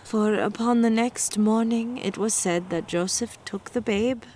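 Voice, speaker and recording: An actress playing a wary, gentle young village mother of ancient times in an audio drama, formal and hesitant. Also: a little high, a little slow, a little hiss or hum, lightly acted. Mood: grave.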